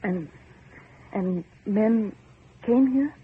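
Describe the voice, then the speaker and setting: An actress playing an anxious, insistent young heiress in vintage radio horror, questioning with pleading, melodramatic urgency. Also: female voice, medium pitch, drawn-out words, breathy edge, exaggerated voice. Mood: alarmed, bewildered. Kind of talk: 1940s radio horror acting. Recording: noisy muffled old radio recording.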